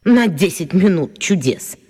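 A woman's voice: lowish voice, expressive, measured pace, warm tone, slightly rough, big-room sound. An actress playing a chatty, reproachful woman grumbling about tricks in a radio play.

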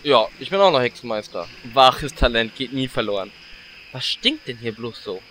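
Man as brash orc, bright forceful voice, animated, taunting, audio drama. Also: mid-range voice, moderate pace, slight background noise, lightly acted. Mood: mocking. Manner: cheeky, whiny, blunt. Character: brash, taunting orc warlock, formerly a gnome.

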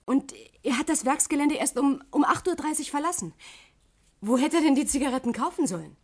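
Aggrieved, insistent widow. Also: female voice, high voice, animated, fairly quick. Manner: hesitant yet insistent, emotional. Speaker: radio drama actor. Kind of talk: 1960s German radio crime drama acting.

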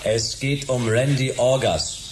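A man's voice: mid-range voice, fairly even intonation, measured pace, very noisy, natural voice. A man playing a brash, wisecracking private detective in a radio play, with a flippant, terse manner. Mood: insistent.

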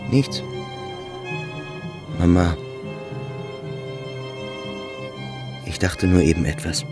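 A pensive young male pupil in a radio play. He speaks very slowly and haltingly in a deep, grave voice, as if working through troubled thoughts, with faint music underneath. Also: little pitch movement. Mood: quiet, evasive. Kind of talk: literary radio play acting.

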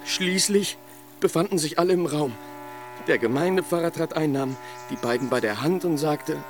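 A man's voice: fairly high pitch, moderate pace, close to an everyday voice. An actor playing a heartbroken young nobleman in a radio play, recounting his misfortune in ornate, impassioned words over music. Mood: anguished, bitter. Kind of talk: German radio play acting.

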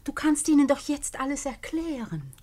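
A minister's devoted wife in a 1950s radio crime play. Her voice is somewhat high. She sounds anxious and pleading, refusing to accept what she is told. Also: sing-song, normal speed, warm tone. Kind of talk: 1950s German-language radio play acting.

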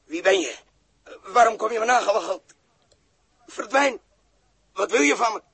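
A man's voice: high-pitched, animated, normal speed, fairly bright tone, in a large hall. An actor playing a feverish, guilt-haunted man in a theatrical Dutch 1960s radio drama. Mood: frantic, angry. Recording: muffled, rough 1960s radio recording.

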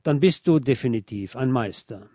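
A man reading a scripted music feature as a radio presenter, in a measured, articulate, literary manner. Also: medium pitch, expressive, warm tone, no room sound, studio quality. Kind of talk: scripted narration.

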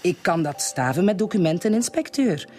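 A woman's voice: on the low side, lively intonation, normal speed, warm tone, faint music behind, lightly acted. Cool, self-assured woman played by an actress. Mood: coolly assured. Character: composed, haughty female criminologist under police questioning. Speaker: actor in a radio drama. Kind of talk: radio drama acting in Dutch.

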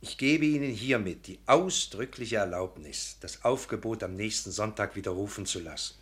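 An actor playing a contrite, self-reproaching gentleman suitor in a literary radio drama, with a formal, earnest, emphatic way of speaking. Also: lowish voice, moderate pace, close to an everyday voice. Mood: contrite, flustered.

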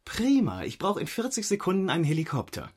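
A curt, impatient mission leader in a sci-fi radio play. His husky voice moves up and down animatedly as he gives orders. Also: high voice, wildly swooping pitch, brisk, darkish tone, heavily acted. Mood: brisk, commanding. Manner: curt, commanding. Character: commanding, impatient male agent leading a cyber rescue mission. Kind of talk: sci-fi radio drama acting.

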